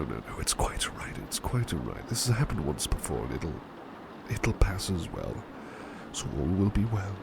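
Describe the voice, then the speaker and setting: A man playing a devoted servant turned talking plush toy in a soothing ASMR roleplay, soft-spoken, reassuring and politely formal, a little hesitant. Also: fairly low pitch, gravelly, heavy background noise, heavily acted.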